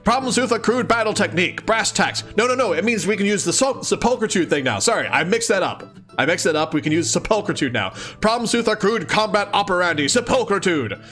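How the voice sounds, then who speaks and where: Animated, expressive man reading aloud. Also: high-pitched, quick pace, few pauses, faint music behind. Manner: giggly, expressive, dramatic reading mixed with chatty asides. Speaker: host of a live let's-read of a webcomic. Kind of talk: animated read-aloud with casual commentary.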